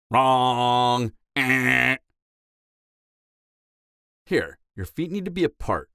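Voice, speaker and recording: A man's voice: medium pitch, a bit bright, no echo, studio quality. A man playing a cocky, flirtatious vampire mentor in an audio roleplay, lecturing in a teasing, sardonic way. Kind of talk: dramatic audio roleplay acting.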